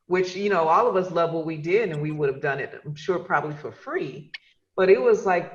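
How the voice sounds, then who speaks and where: A woman's voice: fairly low pitch, highs cut off. A woman from Chicago, a radio host and podcaster, telling her career story with enthusiasm on a video call. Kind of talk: casual video call chat.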